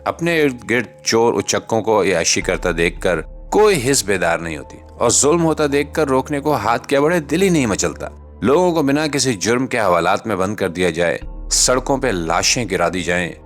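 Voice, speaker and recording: A man's voice: mid-range voice, fairly bright tone. A Pakistani man delivering scripted satirical political commentary in a sardonic, rhetorical manner. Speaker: host and commentator.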